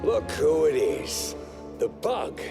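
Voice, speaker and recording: A man's voice: fairly high pitch, wildly swooping pitch, slow, full character voice. An actor playing a grandiose, menacing male mob boss in a video game, taunting in a showy manner. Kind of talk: video game villain acting. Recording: music behind the voice.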